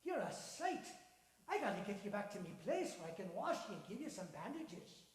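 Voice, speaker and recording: A man voicing a hearty, kindly older Irishman with an Irish accent, calling out his lines in a booming, shouty way during a live story. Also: very animated, fairly quick. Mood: helpful. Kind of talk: acted character in live storytelling. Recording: echoey hall, live stage.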